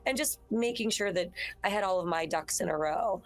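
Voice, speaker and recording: A woman with a naturally raspy voice, a voice actress answering interview questions in a loose, rambling way. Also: medium pitch, normal speed. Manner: rambling, restarts sentences, acts out her own thoughts. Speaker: voice actress guest. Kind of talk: casual interview chat.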